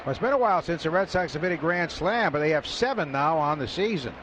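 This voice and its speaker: A man's voice: fairly high pitch, lively intonation, fast. An American man giving color commentary and analysis during a radio baseball broadcast. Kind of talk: radio sports analysis between calls. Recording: dull radio broadcast with loud background noise.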